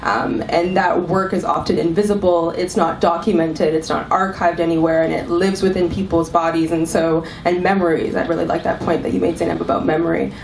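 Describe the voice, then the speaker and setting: A woman's voice: fairly low pitch, steady tone, brisk, hardly any pauses. Woman with a cold, echoey far mic. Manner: thoughtful, many ums and restarts. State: has a cold. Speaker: panelist, arts executive director. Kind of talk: panel talk to a live audience. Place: club event room. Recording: echoey room, mic from far off, faint hiss.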